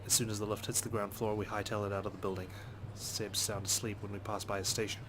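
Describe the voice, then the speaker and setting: A low-pitched man reads visual novel narration aloud as a let's play host. He reads expressively and swears often, adding his own side comments. There is noticeable noise behind his voice. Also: level tone, quick pace.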